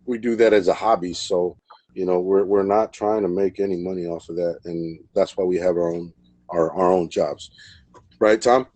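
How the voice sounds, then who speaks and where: Low-ish male host, rambling and casual, dry close sound. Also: fairly even intonation, normal speed, darkish tone, no room sound. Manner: rambling, many fillers and repeats. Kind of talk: casual live stream chat.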